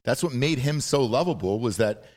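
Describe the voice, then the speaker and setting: A man's voice: medium pitch, animated, normal speed, darkish tone. A man co-hosting a sports podcast, trading casual, jokey banter with some swearing.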